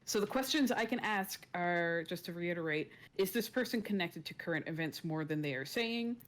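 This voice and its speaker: A woman's voice: fairly low pitch, expressive, normal speed. A woman chatting casually as a player in a tabletop roleplaying game.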